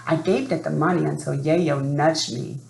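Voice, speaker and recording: A woman presenting and reading a picture book to children in an expressive storytelling style, addressing young listeners directly. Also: sits low, creaky. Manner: expressive, asks young listeners questions and pauses. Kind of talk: read-aloud storytelling for children. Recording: roomy sound with faint background noise.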